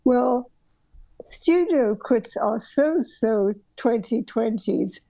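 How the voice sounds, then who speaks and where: Elderly woman with a gravelly, mellow voice. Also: a little high, animated, very slow, no echo, poor audio. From southern Africa. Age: older. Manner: meandering, with frequent restarts and ums. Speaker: guest architect. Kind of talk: reflective conversational storytelling. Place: home bedroom workroom, indoors. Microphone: video call.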